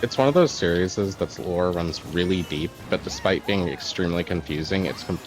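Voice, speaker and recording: A man streaming a kart racing game, chatting casually, rambling about game lore and swearing when excited. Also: low voice, moderate pace, music in the background. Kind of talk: casual gaming chat.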